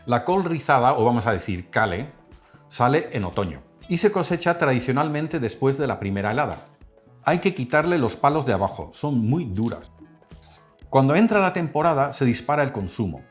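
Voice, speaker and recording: Male cooking host, animated delivery over faint music. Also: mid-range voice, normal speed. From Netherlands. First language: Dutch.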